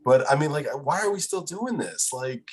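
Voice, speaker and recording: A man's voice: a little high, lively intonation, normal speed, husky. A man chatting as a podcast guest in an informal, opinionated way, blunt and emphatic about his points. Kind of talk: casual opinionated chat.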